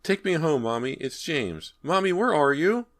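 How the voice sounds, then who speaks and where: A man voicing a frightened little boy trapped in a wall, with high, pleading cries. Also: very animated, normal speed.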